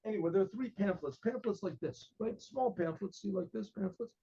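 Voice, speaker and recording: An older man with an American accent telling a story in a casual class, rambling, repeating words and clearing his throat often, on a webcam in a study lined with books. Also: high-pitched, moderate pace, warm tone, muffled recording, slight background noise. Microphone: webcam at desk.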